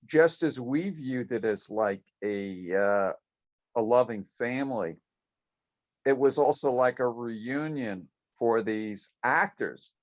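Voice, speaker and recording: A man reminiscing casually on a group video call, speaking in halting, hesitant phrases. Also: medium pitch. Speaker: longtime convention committee member. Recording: dull lo-fi video call audio.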